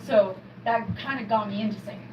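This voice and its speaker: A young adult woman, a guest musician, talking in an animated, self-effacing way during a live interview. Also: mid-range voice, brisk, background noise. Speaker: guest musician, fiddler and singer. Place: live show studio. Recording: roomy, distant, dull sound with loud music.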